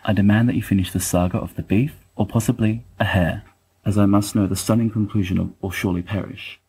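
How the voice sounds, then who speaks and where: A man playing a wry, bookish young letter-writer, pedantic and affectionate, in a dramatic reading with a husky voice. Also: lowish voice, steady tone, fast talker, dark tone, a little hiss or hum, natural voice, low-quality recording. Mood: fond, exasperated. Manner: pedantic, dryly self-deprecating, wordy.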